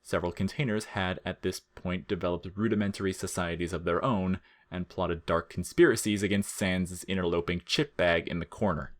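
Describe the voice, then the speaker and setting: Male narrator for humorous audio fiction, deep register, deadpan and measured delivery. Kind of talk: scripted comic fiction narration.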